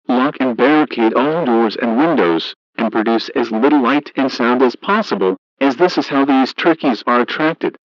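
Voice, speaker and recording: A man reading a scripted emergency broadcast announcement in a formal, flat, measured, synthetic way, as an alert announcer. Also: mid-range voice, no room sound. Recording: dull lo-fi emergency radio broadcast.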